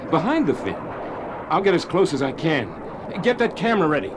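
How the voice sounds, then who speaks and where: An actor playing a calm, fatherly pilot in a 1970s radio drama. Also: fairly high pitch, brisk, loud music behind the voice. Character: steady pilot father, middle-aged man.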